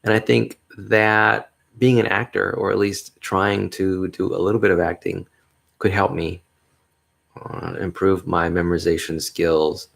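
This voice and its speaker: Deep gravelly man, upbeat and rambling. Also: little pitch movement, a little slow. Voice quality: mellow bass voice. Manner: upbeat, rambling, chuckles often. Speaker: podcast host. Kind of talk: casual solo podcast monologue.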